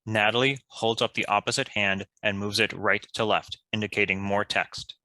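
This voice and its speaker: A man's voice: fairly low pitch, steady tone, normal speed, bright, forceful tone, a little hiss or hum. A man reading stage directions as scripted narration for a table read.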